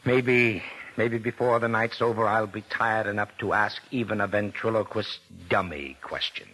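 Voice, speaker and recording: Low-voiced weary police lieutenant. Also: male voice, steady tone, normal speed, sharp tone. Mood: weary, relenting. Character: weary, impatient male police lieutenant investigating a case. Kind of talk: 1940s radio drama acting. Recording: noisy old radio recording with clicks.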